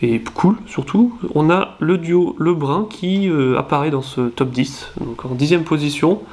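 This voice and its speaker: A man's voice: on the high side, measured pace, a little mellow. A young man from France with a cold, hosting his own channel and reading through rankings in a casual, hesitant way, full of fillers and self-corrections. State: nasal voice from a cold. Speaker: channel host.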